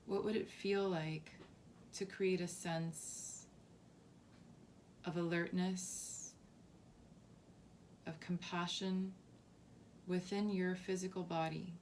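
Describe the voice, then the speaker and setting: A woman leading a guided body scan meditation as a teacher, softly and calmly, with unhurried phrasing and long pauses. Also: on the low side, slight background noise. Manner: soft, unhurried, calming instruction with long pauses.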